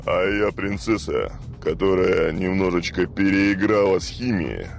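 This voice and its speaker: Male message-leaver, very low and gravelly, deadpan joker, light music behind. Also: measured pace. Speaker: listener leaving a voice message. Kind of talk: casual joking voice message.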